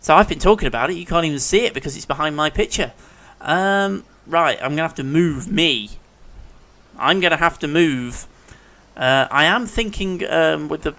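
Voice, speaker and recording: A man's voice: a little high, sharp tone. A man with a cold and a sore throat, chatting and thinking aloud as he commentates his gameplay at home. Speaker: let's play commentator. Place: living room at home.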